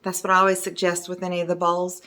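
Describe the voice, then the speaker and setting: A middle-aged woman with a hoarse voice, chatting casually as a resin art teacher while showing her work at home. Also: fairly low pitch, completely flat delivery, fast, sharp tone. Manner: chatty, enthusiastic, meandering. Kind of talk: casual video talk showing artwork.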